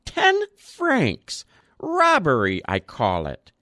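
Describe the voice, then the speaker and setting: Casting: man playing a frail old gent, thin piping quaver, high and rambling, storybook reading. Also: huge pitch swings, very slow, pushed and bright, no room sound, studio quality, heavily acted. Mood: indignant. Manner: rambling, chatty.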